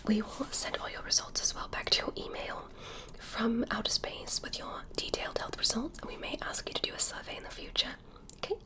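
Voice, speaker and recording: A woman doing a whispered ASMR roleplay as an alien examiner, counting slowly and carefully with a playful, teasing bedside manner. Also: fairly high pitch. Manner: soft unvoiced whisper, slow careful counting. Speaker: ASMR creator.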